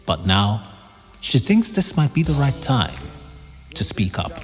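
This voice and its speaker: An actor narrating an audio drama as a dramatic storyteller. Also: male voice, medium pitch, big pitch swings, measured pace. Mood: suspenseful. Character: omniscient dramatic male storyteller. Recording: long reverb, faint music bed.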